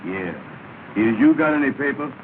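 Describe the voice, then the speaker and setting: Actor doing pompous comic partner, tinny old film. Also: male voice, medium pitch, animated, moderate pace, lightly acted. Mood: bossy. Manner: pompous, nonstandard grammar. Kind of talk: 1930s film comedy dialect acting. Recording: noisy, tinny 1930 film soundtrack.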